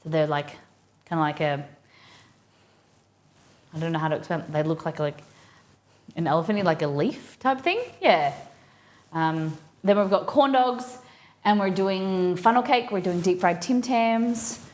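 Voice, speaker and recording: A woman's voice: fairly low pitch, a little slow, pauses often, darkish tone. A woman with an Australian accent, hosting a casual cooking live stream and chatting informally with viewers as she thinks aloud.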